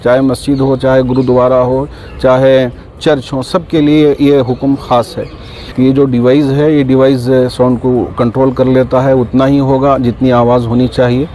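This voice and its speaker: A man speaking calmly to reporters as a community spokesman, reassuring and unhurried, with small fillers. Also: mid-range voice, a little hiss or hum. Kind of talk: press statement.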